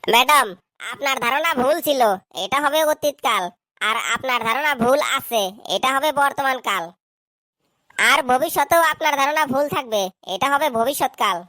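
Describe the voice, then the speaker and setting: Wisecracking cartoon schoolboy, high and dry voice, played by a woman. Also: normal speed, pushed and bright, no echo. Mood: cheeky. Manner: cheeky, wisecracking. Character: cheeky schoolboy with glasses. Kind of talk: cartoon dub acting.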